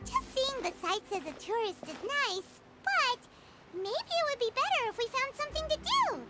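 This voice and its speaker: Squeaky, very high-pitched tiny fairy girl. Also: big pitch swings, moderate pace, heavily acted. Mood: excited, curious. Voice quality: squeaky, childlike. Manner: bubbly, refers to self in third person. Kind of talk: video game character acting. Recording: loud music behind the voice.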